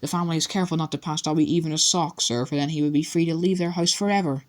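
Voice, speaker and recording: Brief: a man playing a cringing little male house elf, high and miserable, for a storybook. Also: steady tone, rapid-fire, lightly acted. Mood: pleading. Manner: humble, servile, refers to himself by name.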